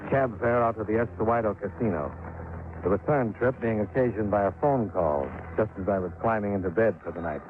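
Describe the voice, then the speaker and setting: An actor playing a dry, wisecracking insurance investigator who narrates a 1950s radio drama. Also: male voice, fairly low pitch, fairly quick, close to an everyday voice. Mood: narrating. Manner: dry, wisecracking, matter-of-fact. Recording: tinny old radio broadcast with music.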